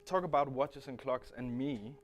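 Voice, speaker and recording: A man in his thirties from Denmark speaks with a Danish accent, at an ordinary middle pitch. He presents to a room, stopping and restarting his phrases as he searches for words. A faint hum sits under the recording. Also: normal speed. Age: 30s. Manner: hesitant, frequent restarts and fillers. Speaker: guest speaker. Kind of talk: conference presentation.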